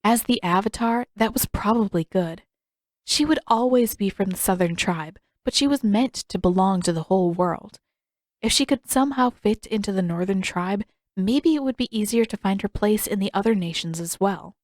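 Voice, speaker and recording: A woman's voice: medium pitch, normal speed, a little mellow, dry recording. Woman narrating a story, dry close sound. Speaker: fan fiction narrator. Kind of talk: scripted audiobook narration.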